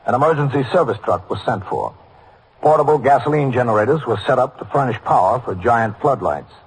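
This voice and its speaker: An American actor playing a stern, skeptical middle-aged police captain in a 1950s radio drama, speaking in a deliberate, matter-of-fact way. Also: male voice, mid-range voice, lively intonation, slightly rough. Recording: noisy old radio drama recording.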